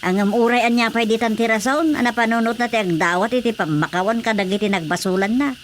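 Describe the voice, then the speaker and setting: A woman acting a high-voiced, scolding village matron. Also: quick pace, a little hiss or hum, close to an everyday voice. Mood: earnest counsel. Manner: exclaiming, scolding, animated interjections. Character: nagging, pious, motherly village woman. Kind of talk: Ilocano radio drama comedy acting.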